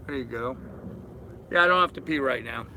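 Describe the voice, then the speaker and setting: A man's voice: medium pitch, brisk, sharp tone, a little hiss or hum, poor audio. An older man hosting a casual live chat from a boat, rambling as he reads and answers comments and addresses viewers familiarly. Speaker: live-stream host. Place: aboard a boat at anchor.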